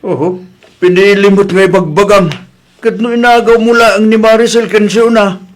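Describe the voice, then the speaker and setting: An actor plays a sensible, reproachful family man in a comedy radio drama. His voice is slightly high for a man, with an Ilocano Filipino accent. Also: steady tone, moderate pace, lightly acted. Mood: chiding.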